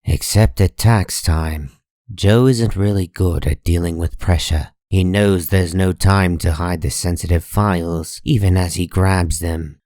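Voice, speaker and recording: A man with a low voice delivers dramatic comic-book narration. He is solemn and measured, taking his time, and the recording is dry with no room sound. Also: steady tone, a little mellow, dry recording.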